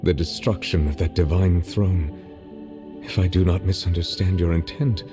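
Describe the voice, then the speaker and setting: A man's voice: low voice, steady tone, unhurried. A man voicing a grave, sorrowful dragon sovereign who serves as a judge in a video game, speaking in a formal, restrained way. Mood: grave, questioning. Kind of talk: dramatic video game voice acting. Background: music.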